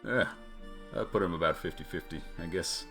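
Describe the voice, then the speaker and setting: A man voicing a gruff, modest, loyal mercenary from a video game, plain-spoken, folksy and reassuring. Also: deep voice, moderate pace, fairly bright tone, faint music behind, pitched below the performer's own voice. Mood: wry, relaxed. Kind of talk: acting out video game dialogue.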